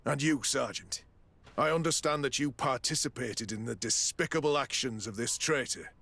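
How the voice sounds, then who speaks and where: A man's voice: mid-range voice, moderate pace, vocal fry, fairly bright tone, breathy edge. Stern, authoritative male quartermaster. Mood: stern, official. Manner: formal, authoritative. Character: stern but fair military quartermaster. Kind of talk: scripted video game acting.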